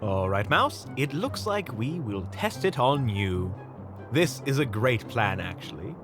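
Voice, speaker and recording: A man's voice: mid-range voice, big pitch swings, measured pace, music in the background. A man acting as a wry, reassuring monster hunter who teases and explains things in an audio roleplay. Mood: calm, instructing.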